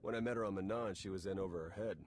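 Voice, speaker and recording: Guy playing tired wry spy dude in a game, low voice, dry n casual, slight noise behind. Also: steady tone, rapid-fire, close to an everyday voice. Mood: reflective. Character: wry, world-weary male secret agent. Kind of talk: conversational video game acting. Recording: background noise and game ambience.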